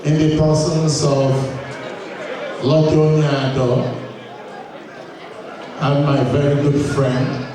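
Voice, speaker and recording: A man giving a formal welcome address at a community gala, in slow, measured phrases with long pauses. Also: a little high, level tone. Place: large hall at a community gala. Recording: echoey hall, distant mic, crowd chatter.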